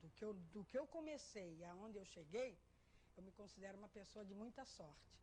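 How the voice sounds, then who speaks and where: Mature female interviewee, casual reminiscence, medium pitch, faint ambience behind. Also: expressive, fairly quick, dark tone, slight background noise. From born in Portugal, moved to Brazil as a child. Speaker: former cook and maid.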